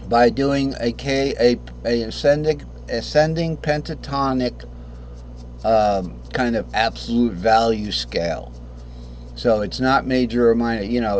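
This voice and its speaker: Slow-talking hoarse man teaching guitar. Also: mid-range voice, a little mellow, slight background noise. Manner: rambling, self-correcting, clears throat often. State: hoarse, losing his voice. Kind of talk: casual guitar lesson. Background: guitar playing.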